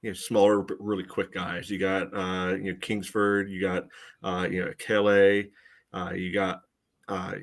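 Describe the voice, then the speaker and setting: Male podcast co-host, lower register, casual sports analysis, halting delivery full of verbal fillers. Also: level tone. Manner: hesitant, choppy phrasing peppered with "you know".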